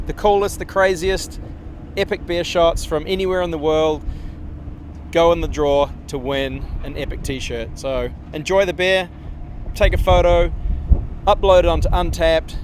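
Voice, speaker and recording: A man with a New Zealand accent speaks in an upbeat, promotional way, his voice sitting a bit high. He is recorded outdoors, with a faint hum of city traffic behind him. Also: a little slow. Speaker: brewery representative.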